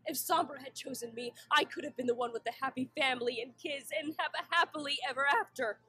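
A woman's voice: sits high, fast, bright, forceful tone. A woman voicing a jealous, vengeful villainous pony mare in a cartoon, theatrical and taunting. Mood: bitter, vengeful. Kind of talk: fan animation voice acting.

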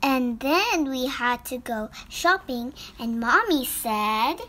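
A young child reading a storybook aloud as its narrator, in an expressive, dramatic way. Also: sits high, slow. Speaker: narrator reading in the main character's voice. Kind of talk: scripted storybook read-aloud.

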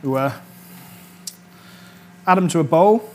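A man's voice: on the high side, slow pace, a little mellow. A British man in his thirties, a chef talking chattily through an informal cooking demonstration, checking in as he goes. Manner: chatty, instructional, often checks with "all right?". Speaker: chef presenting a cooking series. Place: indoor kitchen studio set.

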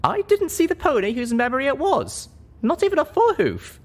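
A man's voice: extremely high pitch, huge pitch swings, brisk, voice pushed up, heavily acted. A man voicing a small, stubborn young female pony in an earnest, emphatic storybook reading. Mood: indignant, insistent.

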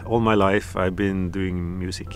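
A Norwegian man, a musician, speaking English as a second language in a calm, reflective documentary interview. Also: low-pitched, measured pace. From Norway. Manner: calm, reflective, slightly non-native phrasing. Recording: music playing behind.

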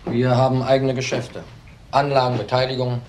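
Male actor for crime-drama husband, thirties, agitated and evasive, medium-pitched, hesitant delivery, slightly noisy soundtrack. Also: completely flat delivery, darkish tone, lightly acted. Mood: evasive, subdued. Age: 30s. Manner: halting, hesitant, repeats words. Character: young widower husband, agitated, evasive under questioning. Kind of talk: German crime drama acting.

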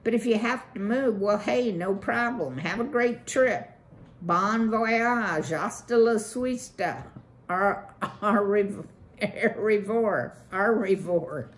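A woman reading a storybook, voicing a brash, fast-talking male beaver boss with slangy salesman patter. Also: mid-range voice, voice pushed up, natural voice.

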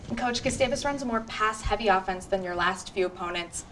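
A woman's voice: mid-range voice, brisk, fairly bright tone, slight background noise, rough recording. A young woman hosting a student sports show in a small TV studio, reading a scripted intro and questions in an upbeat manner on a lapel mic. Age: young adult. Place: small TV studio set at a desk.

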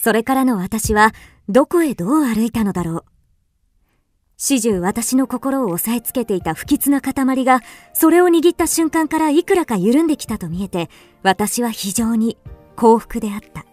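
An actress playing a wistful, introspective first-person storyteller in a literary radio drama. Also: female voice, fairly high pitch, expressive, moderate pace, darkish tone, no room sound, soft background music. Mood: wistful, relieved. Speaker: actor narrating.

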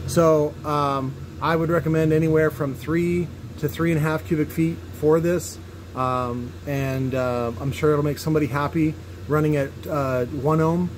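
A man giving casual, unscripted technical commentary as he demonstrates tests, halting and thinking aloud in an opinionated way. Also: a little high, steady tone. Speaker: host demonstrating speaker tests. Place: indoor workshop at a cluttered bench. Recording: noisy workshop with background hum.

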